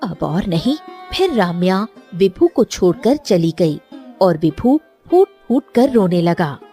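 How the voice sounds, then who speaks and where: Woman narrator telling a story, lively intonation, medium pitch, faint music behind. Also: slow pace.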